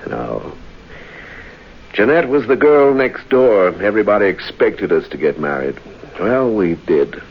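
A man's voice: lowish voice, sing-song, measured pace, breathy edge, exaggerated voice. An actor playing an unhappily married man confessing murder plans, in mid-century radio noir style. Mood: brooding, intimate. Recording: muffled, noisy vintage radio recording.

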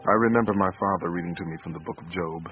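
A man's voice: deep voice, racing, lightly acted. An actor playing an earnest, regretful father, subdued and plain-spoken, in a radio drama. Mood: solemn. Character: Martin, earnest, regretful small-town father. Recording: dull lo-fi audio, faint music.

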